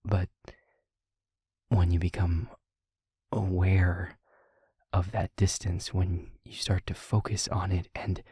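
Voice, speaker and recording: A soft-spoken male vampire, acted by a man in an intimate audio drama. His voice is mellow, very breathy and captured close and dry. He speaks haltingly and with care, reassuring his newly turned partner. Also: low-pitched, flat delivery, no room sound, heavily acted. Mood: quiet, explanatory. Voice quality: breathy, husky, near-whispering. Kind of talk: intimate audio roleplay monologue.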